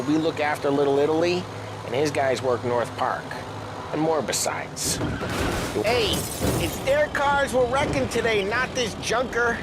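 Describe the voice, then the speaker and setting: An actor playing a brash male gangster in a game cutscene, talking tough street slang and mocking his friends. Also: on the high side. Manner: tough street slang, loud teasing laughs. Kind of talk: video game cutscene acting. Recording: car and street noise.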